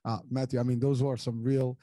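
A man's voice: mid-range voice, level tone, fairly quick, mellow, no room sound, studio quality. A middle-aged man from Morocco hosting a casual interview, talking in long rambling sentences full of restarts and repeated words. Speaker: podcast host.

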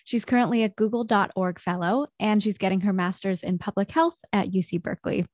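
A woman's voice: mid-range voice, fairly even intonation, brisk, a little mellow, no echo. An upbeat young woman hosts a podcast interview and chats easily with her guest. Her voice sits in the middle of the usual range for a woman. She is recorded close and dry, with no room sound around her. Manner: upbeat, chatty. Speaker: podcast host.

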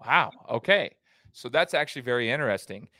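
Guy hosting a sports podcast, mid pitch, dry close mic, rambles his questions. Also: lively intonation, brisk, pushed and bright, no echo. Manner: chatty, rambling questions with restarts. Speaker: podcast host, basketball coach. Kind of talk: casual interview questions.

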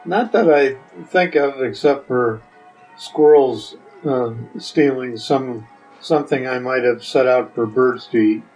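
A man's voice: mid-range voice, slow pace, a little mellow, faint music behind, poor audio. An older man answering questions as a podcast guest in a casual, hesitant, plain-spoken way.

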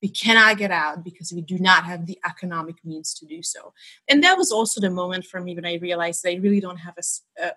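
A woman's voice: fairly low pitch, quick pace, sharp tone, poor audio. A woman in her thirties from Hungary, whose first language is Hungarian, telling her story as a guest in a casual interview, with frequent restarts. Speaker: podcast guest.

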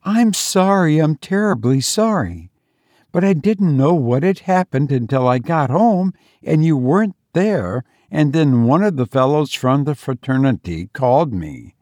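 A man's voice: a little high, sing-song, unhurried, darkish tone, dry recording, clean studio sound. A man voicing a youthful college-age son in a story reading, earnest and apologetic. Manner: clear, earnest.

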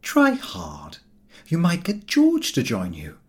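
A man voicing a frank, brisk Edwardian wife, fond yet weary of her husband, in audiobook dialogue. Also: on the high side, wildly swooping pitch, husky, voice pushed up, full character voice. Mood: brisk, coaxing.

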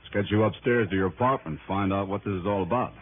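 A man's voice: lowish voice, fast talker, close to an everyday voice. A man playing a concerned, take-charge friend of an investigator in a 1960s radio drama. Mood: concerned, steadying. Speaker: radio drama supporting actor. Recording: muffled, noisy 1960s radio recording.

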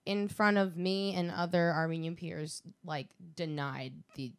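A woman taking part in a casual chat, adding remarks and stories in an expressive way. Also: fairly low pitch, a little slow, clear, resonant tone. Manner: expressive, frequent agreeing interjections.

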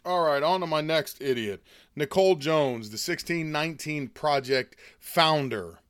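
A man from the United States, hoarse from a chest cold, giving a scornful, indignant opinion monologue as host. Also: fairly high pitch, measured pace, a bit bright, no room sound, clean studio sound. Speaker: political commentary host.

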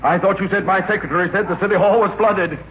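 An actor playing a flustered, fussy, dignified city mayor in a 1940s radio comedy. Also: male voice, high voice, fairly even intonation, fast, a bit bright. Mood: baffled, indignant. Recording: muffled, very noisy old radio broadcast.

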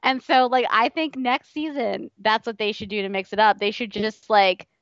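Giggly high-voiced woman, slightly muffled podcast audio. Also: fairly even intonation, normal speed, fairly bright tone, no echo. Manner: giggly, conversational, frequent laughter. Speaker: podcast co-host. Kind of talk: casual chat.

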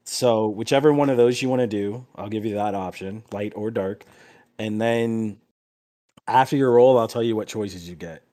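A man's voice: on the low side, normal speed, dark tone. A man acting as game master, explaining options and narrating a tabletop game in informal, profane talk.

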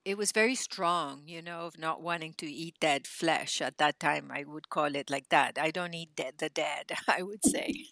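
Lively Argentinian-born woman in her sixties. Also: low voice, normal speed, no echo. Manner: animated, hesitant with many fillers and restarts. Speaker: podcast guest, spiritual teacher. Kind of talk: casual interview storytelling.

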